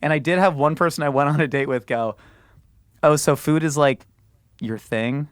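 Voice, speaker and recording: A man in his thirties hosting a podcast, telling stories in a lively way with frequent laughs. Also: a little high, clean tone, no room sound, clean studio sound. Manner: animated storyteller, laughs often, drawn-out words. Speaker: podcast host. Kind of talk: casual chat.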